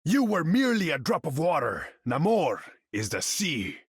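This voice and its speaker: An actor playing a proud, imperious undersea king in a video game, speaking formally and pompously with a husky edge. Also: fairly high pitch, wildly swooping pitch, normal speed, dry recording, clean studio sound, full character voice. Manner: pompous, formal, grandiose, speaks of himself in third person. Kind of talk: video game character voice lines.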